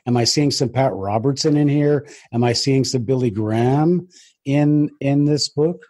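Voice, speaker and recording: A man hosting an interview, posing questions in a hesitant way with many pauses. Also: medium pitch, dark tone. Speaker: interviewer, host.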